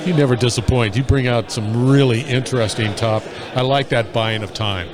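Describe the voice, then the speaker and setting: A man's voice: medium pitch, fairly even intonation, moderate pace. Upbeat male podcast host with music behind. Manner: upbeat, emphatic sign-offs. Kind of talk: interview chat. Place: onsite at a user conference.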